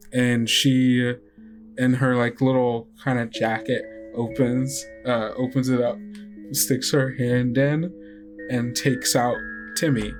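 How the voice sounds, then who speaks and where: Very low-voiced woman game master. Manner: halting, conversational. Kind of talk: improvised tabletop game narration. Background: music.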